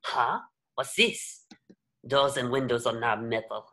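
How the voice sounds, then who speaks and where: A man's voice: medium pitch, unhurried, sharp tone. A man narrating the action from a script at a table reading, as scripted stage directions.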